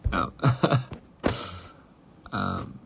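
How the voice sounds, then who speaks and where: Young male musician, low gravelly voice, fast and rambling, casual stream chat. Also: animated, background noise. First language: English. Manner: rambling, halting, full of "like" and restarts; switches between English and Korean.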